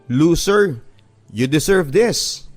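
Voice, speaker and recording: An angry Filipino schoolgirl bully from a radio drama, played by a man, scornful and accusing. The voice sits higher in pitch, over a music bed. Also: expressive, takes it slowly. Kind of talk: Tagalog radio drama acting. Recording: music behind the voice.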